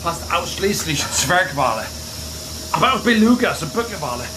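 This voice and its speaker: High-voiced, smug man. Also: very animated, normal speed, background noise. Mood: smug, deliberate. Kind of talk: German radio crime drama.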